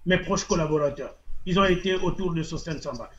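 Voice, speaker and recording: A man's voice: fairly high pitch, fairly quick, highs cut off. A man with a Congolese French accent, a political activist answering an interviewer, repeating his phrases for emphasis. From Republic of the Congo.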